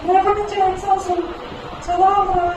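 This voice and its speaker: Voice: very high voice, flat delivery, normal speed, dull audio. A performer voicing a frightened, naughty boy in a children's puppet show, whiny and full of dramatic exclamations. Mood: shocked. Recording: echoey, distant, noisy live show with crowd.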